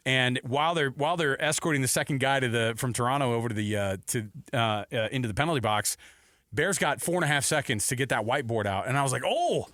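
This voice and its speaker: A man of medium pitch races through casual sports chat as a co-host. He rambles excitably and slips into acted-out quotes, all recorded very dry. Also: quick pace, dry recording. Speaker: sports talk show co-host.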